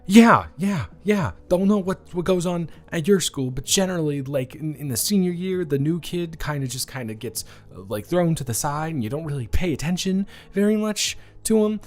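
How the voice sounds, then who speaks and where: A young man playing a new classmate in a romance audio drama, speaking to the listener in a halting, flustered way, overwhelmed and stumbling over his words. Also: a little high, lively intonation, soft background music. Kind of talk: one-sided audio roleplay to the listener.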